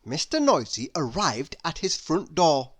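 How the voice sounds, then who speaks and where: A woman narrating a children's story expressively, with deliberate dramatic pauses. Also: low-pitched, big pitch swings, breathy edge.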